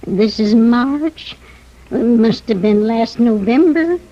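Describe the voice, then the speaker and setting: A woman's voice: on the high side, expressive, slow, dark tone. An American woman playing a chatty, fussy, genteel neighbour lady in 1950s radio drama style, with an effusive, fluttery manner. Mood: chatty, confiding. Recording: muffled old radio broadcast.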